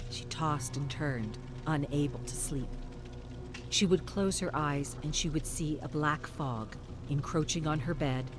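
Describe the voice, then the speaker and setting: A narrator telling a game's story in a steady, scripted manner. The voice moves about animatedly in pitch, and game music plays clearly underneath.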